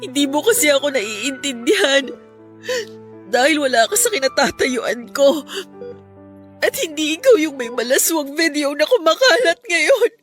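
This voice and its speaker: A woman's voice: high voice, sing-song, unhurried. An actress with a Filipino accent playing a distraught, remorseful young woman in a radio drama, pleading over music. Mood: bitter, hurt. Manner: pleading, tearful delivery.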